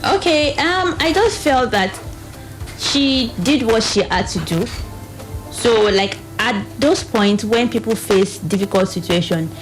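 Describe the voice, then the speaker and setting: A woman's voice: fairly high pitch, poor audio. A woman with a Nigerian accent explaining as a guest in a radio interview, somewhat hesitant. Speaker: reproductive health advocate, studio guest.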